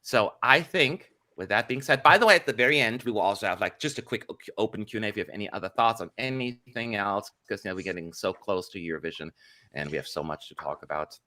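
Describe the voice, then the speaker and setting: A man hosting a casual online panel chat on a video call, talking in a lively way with lots of fillers, from a home room. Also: lowish voice, fast talker. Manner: lively, chatty, frequent "y'all" and fillers. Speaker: host. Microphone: studio mic on a video call.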